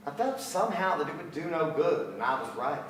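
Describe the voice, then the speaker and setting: Reflective poet narrator in a stage reading, wry, super echoey theatre, a little distant. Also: male voice, fairly high pitch, big pitch swings, brisk, a little hiss or hum. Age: middle-aged. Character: reflective young poet son narrating family memories. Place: large theatre stage, music stand, indoors.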